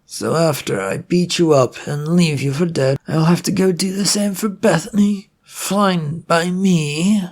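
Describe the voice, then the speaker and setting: A man acts out a brash villain from an adventure tale. He sounds cocky and irritable, with a gravelly edge, sneering his way through threats and swearing. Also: on the high side, measured pace, few pauses, voice pushed up. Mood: menacing.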